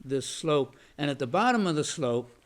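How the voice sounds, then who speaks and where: An older man, a veteran, recalling his past in an oral history interview, halting with many restarts and fillers and a loud voice. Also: on the high side, animated. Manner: says he has a loud voice.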